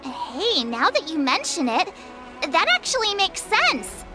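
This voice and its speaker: A woman voicing an excitable, boastful teenage girl apprentice in an English video game, chirpy, playful and dramatic. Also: very high voice, unhurried, loud music behind the voice, heavily acted. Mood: enthusiastic.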